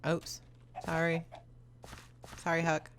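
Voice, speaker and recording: A young woman hosting a video, talking loud and fast in casual chat as she answers viewer questions while playing a game. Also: sits low, monotone, a little hiss or hum. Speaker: YouTuber host.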